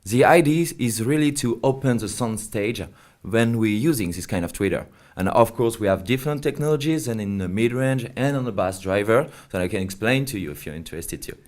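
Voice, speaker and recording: A man's voice: on the low side, lively intonation, a little fast, a little mellow. Man explaining a product. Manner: hesitant non-native English phrasing. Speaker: company representative being interviewed.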